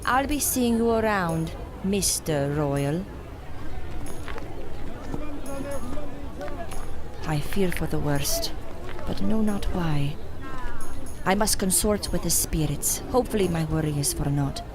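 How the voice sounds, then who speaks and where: An actress playing a proud, sharp-tongued mystic in an audio drama, with formal, old-fashioned diction. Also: female voice, on the low side, expressive, a little slow, background noise. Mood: ominous.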